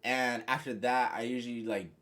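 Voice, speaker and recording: A young man talking casually and reflectively to his viewers, rambling with lots of "you know" and "like", in a voice he calls monotone. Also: mid-range voice, normal speed. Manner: says he has a monotone voice. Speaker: vlogger. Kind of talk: casual reflective monologue to camera.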